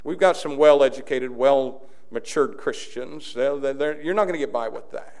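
A man pastor preaching an impassioned sermon, swinging from quiet, intimate passages to loud, shouted declarations. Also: medium pitch, moderate pace. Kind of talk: fiery sermon.

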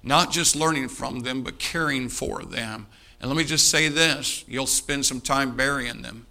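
Forceful middle-aged preacher, bright sharp voice. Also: male voice, mid-range voice, measured pace. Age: 50s. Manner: emphatic, repeats key phrases, addresses listeners directly. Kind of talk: sermon, exhorting a congregation. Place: speaking live before an audience.

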